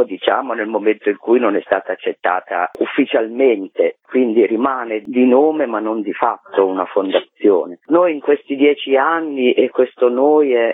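Male phone-in guest, mid-pitched, husky, candid and conversational, narrow telephone sound. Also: dry recording. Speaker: interview guest. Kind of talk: spontaneous radio interview talk. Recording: thin telephone line on radio.

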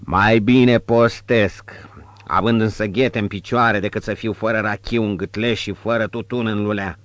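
A man's voice: lowish voice, steady tone, a bit bright, no room sound, close to an everyday voice. A man voicing a worn young drunkard with a cracked voice. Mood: lazy. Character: young dissipated male drunkard, pipe-smoking.

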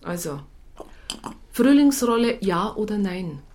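Blunt, sardonic police psychologist. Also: female voice, medium pitch, very animated, slow pace, darkish tone. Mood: resigned, sardonic. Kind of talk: naturalistic radio drama dialogue.

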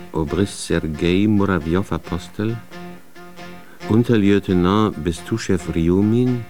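A man narrating a radio drama in a formal, documentary manner, announcing like an official reader of records. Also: sits low. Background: music.

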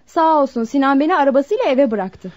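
A carefree, impatient young girlfriend in a radio play. The pitch is high and very animated, full of coaxing and pouting. The sound is dull and lo-fi. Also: fast. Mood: dismissive, teasing. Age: young adult. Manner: coaxing, petulant, dismissive. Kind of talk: Turkish radio theatre acting. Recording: dull lo-fi radio drama recording.

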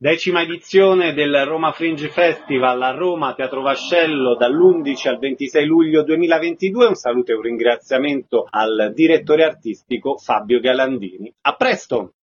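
A man's voice: medium pitch, moderate pace, hardly any pauses. A man interviewing guests for radio. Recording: dull lo-fi sound, clear background noise.

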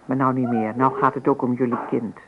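A man acts out a kindly grandfather in an old radio play. He speaks at a middle pitch with plenty of creak, gentle and confiding. The sound is narrow and phone-like. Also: flat delivery, brisk, noisy background, close to an everyday voice. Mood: tender, confiding. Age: older. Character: kindly, reflective grandfather and father-in-law. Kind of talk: naturalistic radio drama acting.

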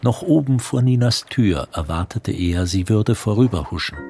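A man narrating a literary radio play in a measured, precise storytelling manner. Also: on the low side, animated. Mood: composed. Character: composed literary male narrator. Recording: music under the narration.

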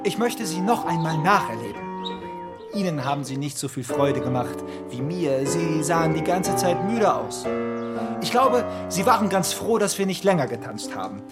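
Actor as sly young charmer, very lively intonation, radio play, loud music behind. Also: male voice, fairly high pitch, moderate pace, lightly acted. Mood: charming, teasing. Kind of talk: German radio drama acting.

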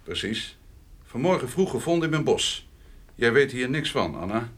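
Husky, insinuating village notary. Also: male voice, medium pitch, big pitch swings, normal speed. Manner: hesitant, insinuating, patronising. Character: pompous, wheedling village notary pressing a landowner. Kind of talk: radio play acting.

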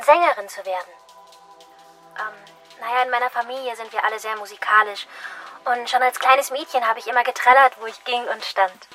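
A woman's voice: on the high side, bright, forceful tone. An actress in a radio play playing a starry-eyed young postwoman who dreams of stardom, with an earnest, showy manner. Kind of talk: radio play acting, rehearsed stage introductions and interviews.

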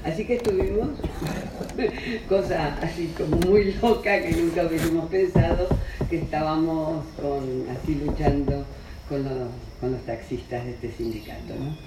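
A man's voice: a little high, fairly even intonation, measured pace. Man rambling at a panel. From Spain. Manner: rambling, self-correcting, chuckles often. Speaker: panel speaker. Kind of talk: spontaneous panel talk. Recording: roomy hall from far off, background noise.

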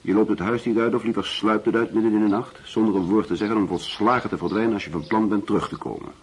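A man's voice: on the low side, fairly even intonation, fast talker, husky. An actor playing a curt, embittered middle-aged husband and father in a radio drama. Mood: bitter.

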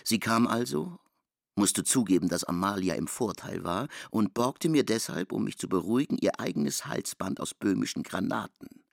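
A man narrating a literary German radio drama in a gravelly voice, voicing the dialogue as he reads. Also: lowish voice, expressive, normal speed, no echo, clean studio sound. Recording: prominent music under the voice. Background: music.

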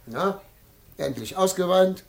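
Blustering greedy husband, crude, creaky, slow, highish voice, theatrical radio play. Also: male voice, animated, full character voice. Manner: theatrical, muttering asides, hesitant when ingratiating. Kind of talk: classic German radio play acting.

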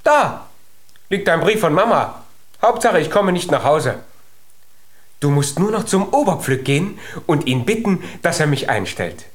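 A man's voice: on the high side, very animated, measured pace. An actor playing a tiny, clever, stubborn young giant boy in a children's radio play, eager and insistent. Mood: determined.

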